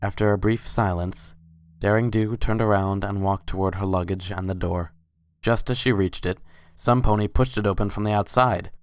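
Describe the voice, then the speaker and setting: A woman's voice: extremely low pitch, steady tone, moderate pace, dry recording. A woman reading scripted story narration as the narrator of a dramatic reading.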